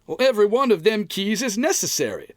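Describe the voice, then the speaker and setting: An actor playing a stubborn, folksy sitcom husband in old-time radio comedy style, hemming and hawing in colloquial speech. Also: male voice, sits high, sing-song, fairly quick, a little hiss or hum. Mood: proudly defensive. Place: recorded at home, dry and close.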